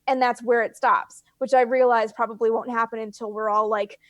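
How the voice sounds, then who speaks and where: High-voiced Canadian woman chatting as a guest. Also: little pitch movement, brisk, fairly bright tone, dry recording. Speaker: guest author. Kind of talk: casual interview chat.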